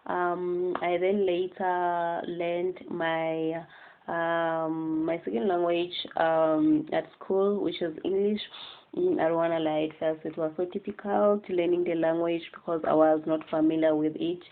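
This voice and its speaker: A woman's voice: fairly low pitch, little pitch movement, a little slow. A woman from the Eastern Cape, a Xhosa speaker with a Xhosa accent, recounting her language story hesitantly with many ums. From Eastern Cape, South Africa. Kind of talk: personal account. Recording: tinny phone-like audio.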